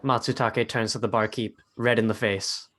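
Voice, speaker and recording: A man's voice: fairly low pitch, flat delivery, moderate pace, a bit bright. Man narrating screenplay stage directions. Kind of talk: scripted narration, dramatic table read.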